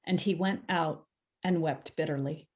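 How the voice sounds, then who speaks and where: A woman's voice: sits low, measured pace. A woman narrating a scripture passage in a church drama, reading expressively.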